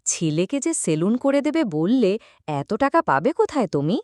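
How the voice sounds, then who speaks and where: A woman's voice: medium pitch, sing-song, moderate pace, darkish tone, dry recording, clean studio sound, lightly acted. A woman voicing a caring, fretful middle-aged village mother in a cartoon folk tale. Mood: doubtful. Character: aging village mother, caring, fretful about money and family.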